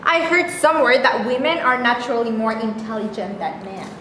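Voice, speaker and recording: Hapless, dryly barbed female stage role woman acting, slightly high voice, roomy echo. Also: animated, brisk, sharp tone. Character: self-pitying, klutzy woman with a dry barbed tongue. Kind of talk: staged reading of a play. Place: indoor stage reading, live room. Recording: echoey room, faint background noise.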